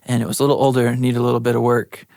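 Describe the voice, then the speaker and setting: Male American guest, creaky mid-range voice. Easygoing, tentative casual chat in a dry studio. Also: medium pitch, level tone, rapid-fire, darkish tone, dry recording. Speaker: audio engineer guest.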